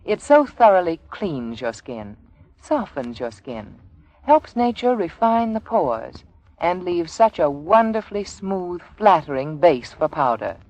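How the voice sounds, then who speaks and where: A woman's voice: lowish voice, sing-song, measured pace, a little mellow, rough recording. A woman reading a scripted 1940s radio advertisement in an emphatic, persuasive manner. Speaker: sponsor's advertising announcer.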